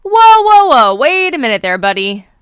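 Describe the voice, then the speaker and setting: A woman's voice: high voice, very animated, normal speed, dry recording, clean studio sound, pitched above the performer's own voice. A woman voicing a wild, cheeky little boy acorn in a storybook, exuberant and playful. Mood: alarmed.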